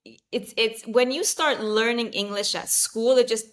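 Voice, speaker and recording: A woman's voice: on the high side, normal speed. Chatty woman guest, restarts often. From Saudi Arabia. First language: Arabic. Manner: chatty, many fillers and restarts, laughs easily. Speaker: podcast guest. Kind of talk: casual interview chat.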